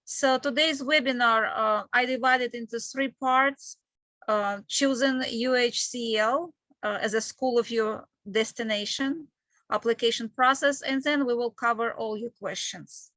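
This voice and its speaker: A woman from Ukraine with a Ukrainian accent, presenting a webinar in a halting, explanatory way as an admissions manager. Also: fairly high pitch, fairly even intonation, bright, forceful tone, no room sound, poor audio. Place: online video call with screen sharing.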